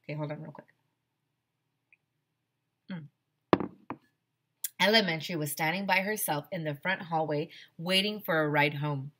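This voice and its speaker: A middle-aged woman reads a children's story as a teacher would, expressively. Her voice sits fairly low, picked up on a headset in a small room. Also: normal speed, long pauses, sharp tone. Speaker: teacher reading aloud. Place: small decorated room indoors.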